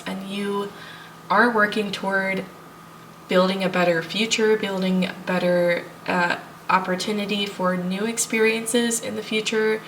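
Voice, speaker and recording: A woman with a stuffy cold, reading tarot cards for viewers in a relaxed, reassuring way. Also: medium pitch, far from the mic, slight background noise, rough recording. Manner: thinking aloud, reassuring, addresses viewers as piles. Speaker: tarot reader. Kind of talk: pick-a-card tarot reading.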